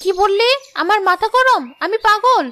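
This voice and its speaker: A woman playing a hot-headed young village wife and mother in a cartoon drama, sharp and accusing, firing off rhetorical questions. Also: very high-pitched, moderate pace, slight background noise. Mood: furious, accusing. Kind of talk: Bengali cartoon drama acting.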